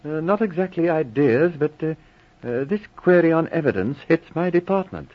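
A man's voice: fairly high pitch, lively intonation, normal speed. A man playing a polite doctor who explains police evidence carefully and hesitantly, in 1940s radio drama style. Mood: hesitant, explanatory. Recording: muffled old radio recording.

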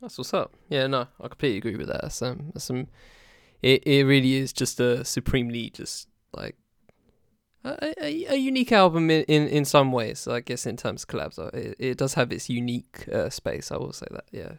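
A man's voice: mid-range voice, animated, moderate pace, vocal fry, smooth, no echo, clean studio sound. A man co-hosting a casual music podcast, rambling and restarting his sentences as he talks. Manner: rambling, hesitant, lots of restarts. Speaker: podcast co-host. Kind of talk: casual chat.

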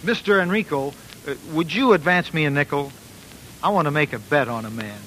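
A man's voice: fairly high pitch, fairly quick, noisy background, lightly acted. A man playing an eager, polite, naive young musician, earnest and bashful, in 1940s radio drama style. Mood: grateful, sincere. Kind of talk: 1940s radio acting and narration. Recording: old 1940s radio broadcast.

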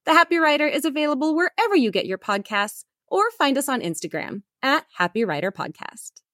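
Female promo voice, high and bouncy, inviting, dry close mic. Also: sing-song, fairly quick, dry recording. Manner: bright, friendly, inviting. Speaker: podcast promo speaker. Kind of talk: scripted ad read. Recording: dry close studio sound.

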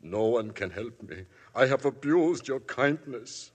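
A guilt-stricken refugee. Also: male voice, medium pitch, expressive, measured pace, husky. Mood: desperate. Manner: anguished, pleading, trembling. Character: frightened German refugee man, guilt-ridden murderer. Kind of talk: 1950s radio drama acting. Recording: old broadcast with faint music.

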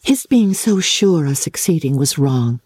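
A woman reader plays a gentle young English gentlewoman from a classic novel. She is tender-hearted and easily distressed, speaking in a soft, breathy, earnest way with very lively rises and falls. The sound is close and dry. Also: low-pitched, a little slow, dry recording, exaggerated voice. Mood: gentle concern. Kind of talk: dramatic classic novel reading.

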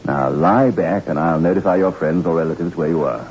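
An actor playing a calm, firm doctor, soothing yet brisk, in an old radio drama. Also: male voice, low voice, close to an everyday voice. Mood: reassuring. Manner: soothing but brisk, commanding. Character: calm, firm, reassuring male island doctor. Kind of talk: 1960s radio drama acting. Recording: muffled, noisy old radio recording.

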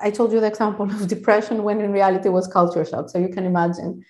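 A woman whose first language is Greek talks quickly and eagerly, stumbling and restarting as she goes. Her voice sits mid-range and rings with echo from the room around her. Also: medium pitch, fairly even intonation, dark tone. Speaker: guest, founder of a counselling service. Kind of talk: podcast interview.

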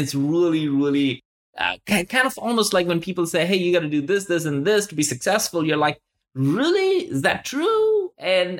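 A male podcast host with a voice pitched a little high for a man. He speaks conversationally and with enthusiasm, in a motivational style. He stresses points by repeating words and often turns to the listener with a question. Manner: poses rhetorical questions to the listener.

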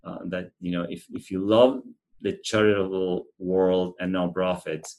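A middle-aged man with an Argentine accent, answering interview questions in an earnest, halting, conversational way. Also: deep voice, flat delivery, a little mellow. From central Argentina. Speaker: animal shelter representative being interviewed.

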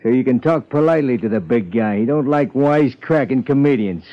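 Tough thirtyish American muscle man played by a man, medium pitch, clipped threats, dull vintage radio sound. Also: lively intonation, fairly quick, close to an everyday voice. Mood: menacing. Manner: curt, slangy tough talk. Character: short, menacing thirtyish male gunman, tough and sardonic. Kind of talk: 1950s radio crime drama acting. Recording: dull 1950s radio recording.

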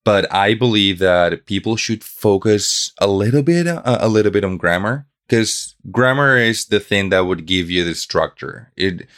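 A man's voice: lowish voice, a little slow, hardly any pauses, a bit bright. A man from Bolivia whose first language is Spanish, answering earnestly as a guest in a conversational interview in English.

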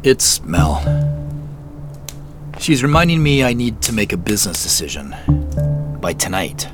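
A man's voice: fairly low pitch, sing-song, measured pace, music in the background. An actor playing an uneasy, wry everyman investigator in a naturalistic audio drama, confiding and hesitant, muttering to himself at times. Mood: weary, distracted. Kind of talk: naturalistic audio drama acting with first-person narration.

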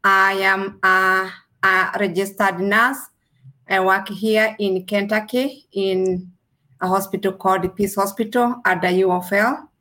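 A woman from Kenya with a Kenyan accent, a registered nurse answering interview questions earnestly, with measured pauses. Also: mid-range voice, fairly even intonation, bright, forceful tone.

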